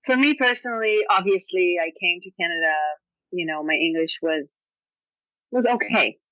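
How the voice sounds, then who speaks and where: Bright, dry-voiced Israeli woman telling stories. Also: fairly low pitch, moderate pace, no echo, low-quality recording. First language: Hebrew. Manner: animated storytelling with frequent "you know" and laughs. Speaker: guest author. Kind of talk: casual podcast interview.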